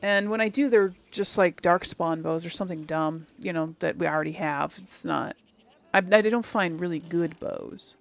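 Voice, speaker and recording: A woman's voice: on the low side, moderate pace, creaky. A woman giving casual commentary as she plays a game, thinking aloud in a musing way. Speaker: gamer, series host.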